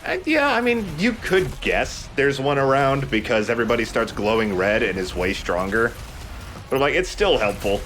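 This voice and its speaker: A man's voice: mid-range voice, very animated, moderate pace, a bit bright. A man doing casual, self-mocking let's play commentary with wry jokes and some swearing. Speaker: let's player playing the game. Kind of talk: casual joking game commentary. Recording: faint background game noise.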